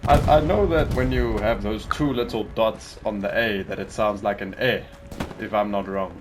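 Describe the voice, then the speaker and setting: A man's voice: fairly low pitch, normal speed, noisy background. A South African man whose first language is Afrikaans, chatting casually over game voice chat and stopping often to search for words. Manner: hesitant, repeats words while searching for them. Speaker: gamer teammate. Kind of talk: casual voice chat while gaming.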